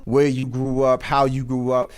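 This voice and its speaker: A man's voice: medium pitch, monotone, moderate pace, smooth. A man hosting a casual livestream show, effusive and given to repeating his greetings and thanks. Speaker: show host.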